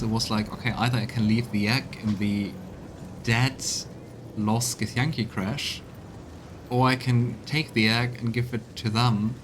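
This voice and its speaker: A man's voice: on the low side, background noise. A man giving casual let's play commentary, musing and thinking aloud as he plays a fantasy video game. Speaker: streamer playing a fantasy role-playing video game. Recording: game music playing behind.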